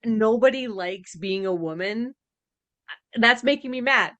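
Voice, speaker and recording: A woman's voice: on the high side, unhurried, a bit bright. A woman co-hosting a podcast in a casual, chatty reaction style. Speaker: podcast co-host.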